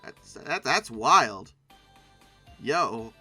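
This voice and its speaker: Bright, expressive commentator with swooping pitch. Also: very slow, breathy edge, no echo, soft background music. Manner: expressive, exclamatory, emphatic; sarcastic, wry asides. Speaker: video host commenting on images. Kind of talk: casual humorous commentary.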